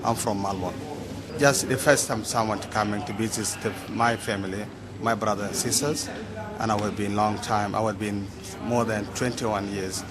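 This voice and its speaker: A man in his thirties from South Sudan speaks haltingly, repeating words as he goes. His voice sits fairly low. A loud crowd roars all around him. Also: fairly even intonation. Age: 30s. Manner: halting, broken phrasing with repeats. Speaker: interviewee. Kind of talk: halting personal account.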